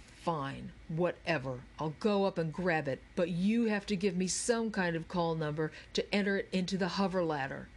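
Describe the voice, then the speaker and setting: A woman's voice: fairly low pitch, moderate pace. A woman voicing a bossy, impatient, scornful boy in a children's audiobook, snappish and grumbling. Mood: scornful, irritated.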